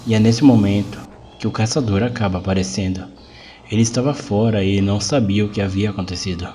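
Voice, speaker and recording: Low, mellow Brazilian male narrator. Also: fairly quick, slight background noise. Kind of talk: scripted horror story narration.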